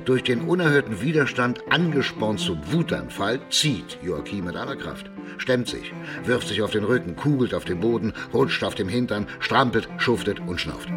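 A man narrates a radio drama in a low voice. His storytelling is vivid and theatrical, swinging widely in pitch. Music plays clearly behind him. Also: animated, normal speed, few pauses.

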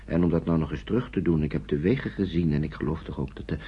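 A weary, polite wartime refugee gentleman in a radio play. His voice is very low and husky, with formal, halting phrases that double back on themselves. The old recording sounds dull. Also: full character voice. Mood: worried, hesitant. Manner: formal, hesitant, repeating himself. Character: courteous weary older Englishman fleeing with children in wartime. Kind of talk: radio drama acting, naturalistic.

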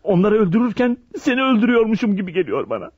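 High-voiced, obsessed lovesick male killer. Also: sing-song, rapid-fire, darkish tone, highs cut off, slight background noise. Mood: anguished, brooding. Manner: anguished, brooding monologue delivery. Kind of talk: Turkish radio drama acting.

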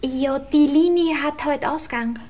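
An actress playing a demure young housemaid with a Viennese accent, deferential and matter-of-fact, in a radio play. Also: female voice, sits high, measured pace, darkish tone.

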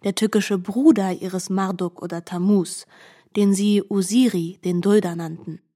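An actress playing a wry, scholarly co-narrator who comments on the tale in a literary radio play. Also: female voice, medium pitch, fairly even intonation, unhurried, dark tone, lightly acted.

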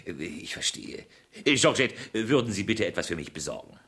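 Shifty embezzler, radio play, irritable, imperious, flustered, extremely animated intonation, theatrical. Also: male voice, fairly low pitch, normal speed. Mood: flustered, bustling. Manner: imperious, flustered, theatrical, swinging between bluster and hush. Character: irritable, shifty male office embezzler, smooth and cold-blooded. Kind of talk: German 1970s radio play acting.

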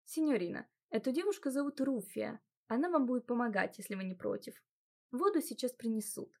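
A woman's voice: a little high, expressive, brisk, vocal fry, a little mellow. A woman voicing a polite, slightly hesitant middle-aged housekeeper. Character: fussy attentive female housekeeper, middle-aged.

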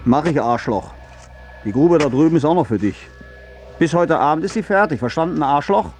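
An actor playing a gruff, abusive construction foreman in a radio drama, barking curt orders and insults. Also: fairly high pitch, expressive, normal speed, darkish tone, faint music behind, close to an everyday voice. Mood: contemptuous. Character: gruff, foul-mouthed bullying construction site foreman.

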